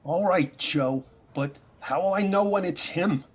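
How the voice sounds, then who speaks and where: An actor playing a simple-minded, obedient shell-shocked veteran, childlike and eager to please, in a 1930s radio drama style. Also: male voice, sits high, measured pace, slightly rough. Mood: childlike, devoted.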